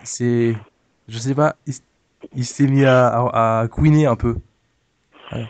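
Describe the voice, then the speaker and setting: A man's voice: medium pitch, steady tone, slow pace. A man playing an anxious, bumbling cat owner on a prank phone call, hesitant and stammering, repeating himself. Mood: flustered. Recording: noisy phone prank recording.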